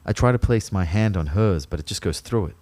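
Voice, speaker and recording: A man's voice: low-pitched, animated, a little fast, a little mellow, no echo. A man playing an anxious, lovelorn dead young man, narrating his limbo introspectively in the first person for an audio drama. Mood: subdued, uneasy. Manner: introspective, intent.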